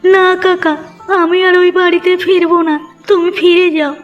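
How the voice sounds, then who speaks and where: A woman's voice: very high voice, little pitch movement, moderate pace. A woman voicing a hurt, stubborn young village woman, plaintive and insistent, in a moral-story cartoon. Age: young adult. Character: wounded, stubborn young village woman, about twenty-two. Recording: dull audio with music underneath.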